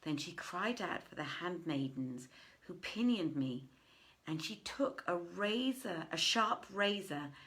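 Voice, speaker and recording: A middle-aged woman reading old tales aloud in a deliberate, theatrical way, with emphatic pauses. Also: lowish voice, fairly bright tone. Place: indoors, living room by a fireplace.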